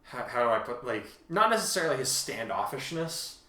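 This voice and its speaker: A man hosting a casual fan discussion, talking in a rambling, halting way and often correcting himself mid-thought. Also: mid-range voice, big pitch swings, bright, forceful tone, distant mic. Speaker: host and fan fiction author. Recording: echoey untreated room.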